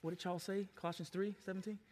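An American man in his forties preaching a sermon as a pastor, emphatic and given to repeated refrains, moving between hushed asides and loud exclamations. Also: high-pitched, level tone, fast. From Long Island, New York, United States. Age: 40s.

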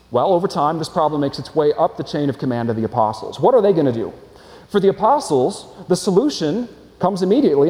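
A man preaches to his congregation from the front of a church, his voice carrying with a long hall reverb. He speaks a little high for a man but with a warm, mellow tone. His delivery is earnest, steady and explanatory, with rhetorical questions to the room. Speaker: pastor. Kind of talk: sermon.

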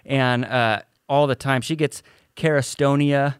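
A man's voice: mid-range voice, fairly even intonation, unhurried, clear, resonant tone, dry recording, studio quality. A man co-hosting a podcast, chatting casually and laughing often as he tells rambling stories. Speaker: podcast co-host.

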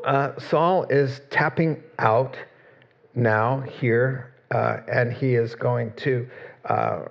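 Male preacher, gravelly tone, chatty sermon delivery, muffled lo-fi capture. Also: mid-range voice. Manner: conversational, quotes scripture, jokes with the congregation. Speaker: pastor.

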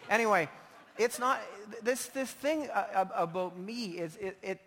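A man's voice: high voice, moderate pace, a bit bright. A man preaching a sermon as a pastor, emphatic yet informal and conversational, his voice slightly strained. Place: large indoor church hall. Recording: echoey large hall, long reverb.

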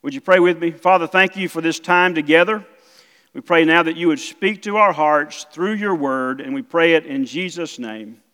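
A middle-aged man with a South Mississippi accent, preaching as a guest missionary pastor, halting with many fillers. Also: fairly high pitch, pushed and bright. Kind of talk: sermon. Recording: church hall reverb.

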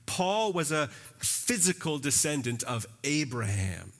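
A male pastor delivering a sermon in a relaxed, conversational way, animated and using casual phrasing. His voice sits a bit higher than most men's. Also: measured pace, soft background music. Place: church.

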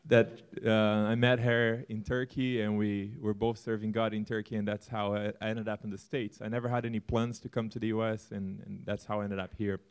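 A man from Brazil with a Brazilian Portuguese accent, presenting his church-plant vision to a congregation with earnest, animated talk and some self-deprecating humor. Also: on the low side, a little fast, few pauses, smooth.

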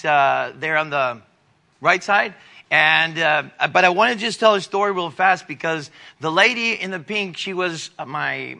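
Missionary guy in his 40s telling his story at church, lots of uhs, bright gravelly voice. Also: a little high. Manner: halting, many fillers and restarts. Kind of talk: church testimony with slides.

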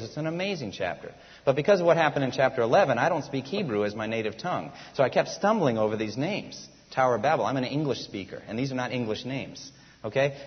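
A man preaching a sermon as pastor, earnest and emphatic, often asking his listeners rhetorical questions. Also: medium pitch. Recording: dull lo-fi church hall recording.

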